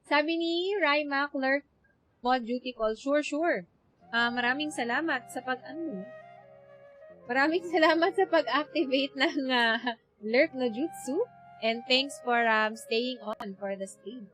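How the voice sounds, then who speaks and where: Filipina streamer lady, highish voice, mixes tagalog and english, casual gaming chat w soft music behind. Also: measured pace, rough recording. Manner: code-switching between Tagalog and English. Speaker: game streamer. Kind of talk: casual livestream gameplay commentary. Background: game music.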